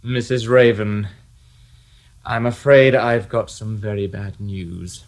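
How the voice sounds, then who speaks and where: A man's voice: lowish voice, steady tone, takes it slowly. An actor playing a firm, sardonic male detective inspector in a radio drama.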